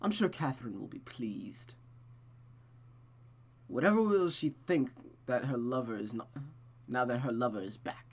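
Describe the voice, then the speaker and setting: A man's voice: medium pitch, animated, normal speed, pauses often. Man reading as a cold, needling mother. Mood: scornful. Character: cold, needling, controlling mother in a visual novel.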